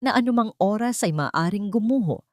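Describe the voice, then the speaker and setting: A woman's voice: mid-range voice, expressive, normal speed, dry recording, studio quality. A woman reading a scripted dramatic story as an audiobook narrator.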